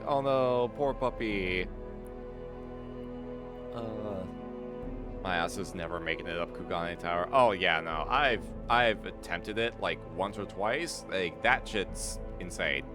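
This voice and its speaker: A man's voice: low-pitched, measured pace, over music. A man hosting a casual game stream, rambling and swearing, often repeating himself, his voice slightly strained. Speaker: livestream host.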